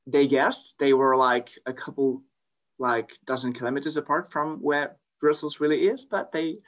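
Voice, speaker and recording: A man's voice: medium pitch, fairly even intonation, normal speed. A young Belgian man with a French accent, a native French speaker, chatting as an interview guest with many fillers and restarts. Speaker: guest, polyglot and language teacher. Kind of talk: casual interview conversation.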